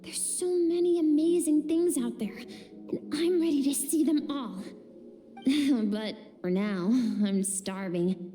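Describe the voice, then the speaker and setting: A spirited, dreamy young girl from a video game. Her voice is high and lilting, unhurried but eager. It rings with long reverb. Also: dark tone. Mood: wistful, dreamy. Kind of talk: English video game voice acting. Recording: reverberant, game music underneath.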